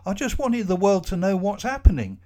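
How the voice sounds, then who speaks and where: A man voicing a fidgety, remorseful young man in his thirties, pleading in a high, loud voice in a storybook reading. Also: big pitch swings, brisk. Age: 30s.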